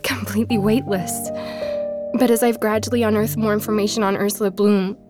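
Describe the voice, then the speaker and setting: An actress playing a guilt-ridden young woman podcaster, narrating an audio drama as an intimate, halting, reflective confession. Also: mid-range voice, flat delivery, lightly acted. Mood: self-blaming. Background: music.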